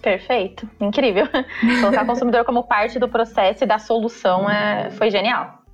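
A woman's voice: a little high, steady tone, normal speed, highs cut off, faint music behind. A woman with a Brazilian accent giving advice in a conversational style.

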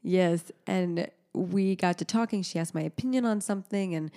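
A woman's voice: on the low side, moderate pace, dark tone. A woman in her thirties, co-hosting a podcast in casual chat, a chatty storyteller who laughs often. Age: 30s. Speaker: podcast co-host.

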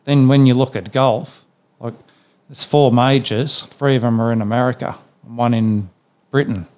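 An Australian man hosting a laid-back sports podcast. He talks in a rambling, conversational way, peppered with "yeah" and "you know", at an ordinary mid pitch. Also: steady tone, moderate pace, a little mellow. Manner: rambling, with many fillers and "yeah"s. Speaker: podcast host. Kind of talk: casual sports chat.

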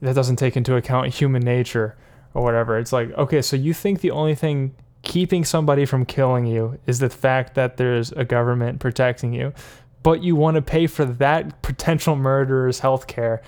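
A man chatting casually on a podcast, circling around his ideas with lots of likes and you-knows. He laughs often, and his voice sits at a middle pitch. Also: steady tone, moderate pace, a little mellow, clear, resonant tone. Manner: rambling, many fillers, laughs often. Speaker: podcast co-host. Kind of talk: casual political chat.

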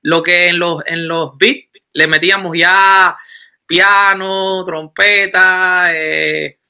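A Cuban man with a very bright, ringing voice talks at a fairly high pitch. He is a musician in his thirties who tells his story in a relaxed interview. He restarts his sentences often as he goes. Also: slow pace, clear, resonant tone. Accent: Cuban Spanish. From Cuba. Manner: animated, rambling with frequent restarts. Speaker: musician guest. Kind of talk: casual interview talk.

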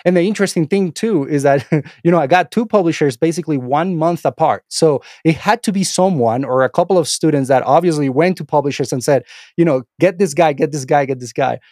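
A man's voice: on the high side, fairly quick, darkish tone, no room sound. Venezuelan man, dry close sound. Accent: Venezuelan Spanish. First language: Spanish. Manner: rambling, many restarts and fillers. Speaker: interview guest, instructor and author. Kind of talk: casual interview conversation.

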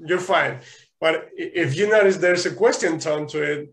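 A man from Spain, telling lively stories as a guest in a casual interview. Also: on the high side, moderate pace, creaky. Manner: animated storytelling, frequent restarts and laughs.

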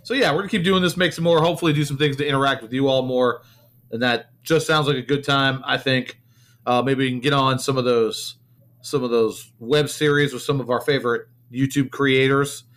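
A man's voice: mid-range voice, fairly even intonation, fairly quick, fairly bright tone. A man co-hosting a podcast in casual chat, friendly and teasing, often repeating words as he talks. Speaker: podcast co-host.

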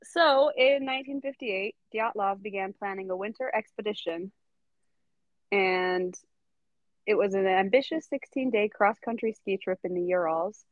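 A woman's voice: medium pitch, normal speed, no echo, narrow, tinny audio. Chatty giggling woman podcaster. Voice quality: giggly, chatty, filler-heavy. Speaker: podcast host. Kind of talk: casual storytelling chat.

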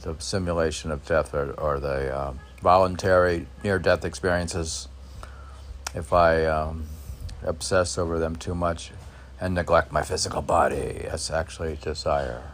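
A man informally reading his poems and commenting on them in a halting, musing way, as if talking to himself. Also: very deep voice, steady tone, slight background noise. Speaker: poet reading and commenting on own poems for a podcast. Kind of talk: informal poetry reading with rambling self-reflective commentary.

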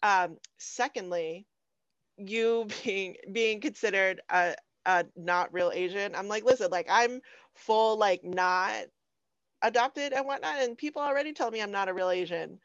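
A woman's voice: medium pitch, a little slow, no room sound. An American woman, a young adult, hosting a podcast in an informal, conversational style on slightly lo-fi audio. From Phoenix, Arizona, USA. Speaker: podcast host. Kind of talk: casual chat.

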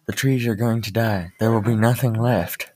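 A woman voicing the men in her story, an odd old prophet and a young sailor, in a deep, gruff put-on voice. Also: fairly even intonation, moderate pace, a little mellow, no echo. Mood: urgent. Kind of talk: amateur storytelling. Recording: distant from the mic.

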